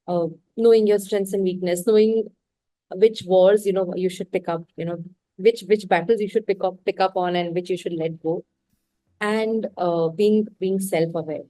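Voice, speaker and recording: A woman's voice: medium pitch, steady tone, normal speed, warm tone, no echo, poor audio. A woman from India with an Indian English accent, a podcast guest speaking candidly and reflectively. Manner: reflective and candid, talks through her thoughts. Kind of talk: casual interview conversation.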